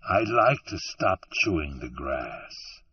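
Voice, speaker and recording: A man voicing an ashamed, frightened drifter who pleads slowly in rustic grammar, close to tears. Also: rumbling low, very animated, breathy edge, distant mic, highs cut off, pitched below the performer's own voice, heavily acted. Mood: pleading. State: tearful.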